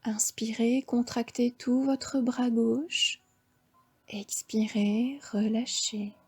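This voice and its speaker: A woman with a French accent guiding a yoga nidra relaxation, giving slow, soft, half-whispered breathing cues. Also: a little high, steady tone, husky. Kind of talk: guided relaxation instruction.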